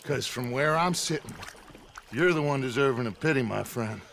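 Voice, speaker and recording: An actor playing a mocking, cocksure outlaw in a video game cutscene, sardonic and taunting. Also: male voice, mid-range voice, expressive, normal speed, gravelly, a bit bright, a little hiss or hum.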